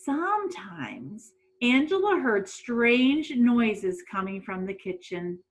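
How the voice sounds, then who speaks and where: A middle-aged woman speaks as a teacher to young children at storytime. Her delivery is unhurried and very lively in pitch, and she often turns to her listeners with questions. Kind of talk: read-aloud storytime for children. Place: small room at home.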